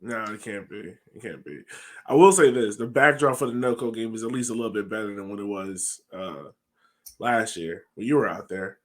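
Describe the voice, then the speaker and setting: A man's voice: fairly low pitch, moderate pace. An American man hosting a sports podcast, chatting casually on a headset over a video call. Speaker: podcast host. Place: small home room, indoors.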